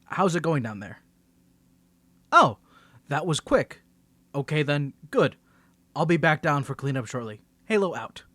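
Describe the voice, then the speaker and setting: Man playing a teasing superhero, lively and higher-pitched. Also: a little slow, pauses often, heavily acted. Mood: exasperated, teasing. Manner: playful, bantering, stammering when flustered. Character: confident, teasing young male superhero, flustered around his crush. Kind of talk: scripted audio roleplay, ASMR channel.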